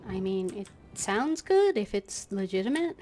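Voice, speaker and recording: A woman's voice: mid-range voice, big pitch swings, measured pace, dark tone, a little hiss or hum. Woman, mid-range voice, live gameplay commentary, reading aloud, musing. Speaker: gaming streamer.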